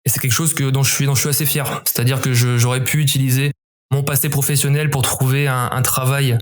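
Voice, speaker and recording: A young adult man from southern France, a former special forces member, answering interview questions in a measured, reflective way with frequent restarts. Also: mid-range voice, little pitch movement. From southern France, near Montpellier.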